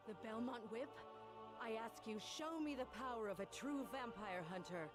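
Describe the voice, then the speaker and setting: A woman's voice: on the high side, animated, normal speed, music in the background, natural voice. An actress playing a proud, defiant female church hunter in a video game, formal and challenging.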